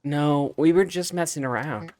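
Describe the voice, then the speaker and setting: A man's voice: on the high side, normal speed, darkish tone, soft background music, lightly acted. A man voicing an uneasy, evasive young boy in a storybook game, hesitant as he brushes questions off. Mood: nervous, evasive.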